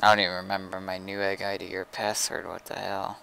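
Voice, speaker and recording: A man chatting casually while gaming, low-key and informal, sometimes half talking to himself. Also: monotone, quick pace, gravelly, pushed and bright. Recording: faintly noisy gaming mic.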